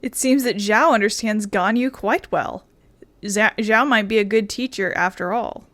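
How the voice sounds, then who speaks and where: A woman's voice: medium pitch, a little slow, fairly bright tone, dry recording. A woman giving informal, wry let's-play commentary as a video game streamer. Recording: game music behind the voice.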